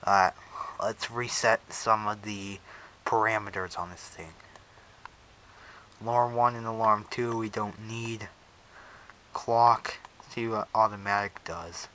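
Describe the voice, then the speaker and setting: A man casually narrating a hands-on unboxing video in halting, pieced-together phrases, recorded on an action camera. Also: fairly low pitch, fairly even intonation, sharp tone, slight background noise. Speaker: vlogger unboxing a weather radio. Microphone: action camera on head strap, later monopod.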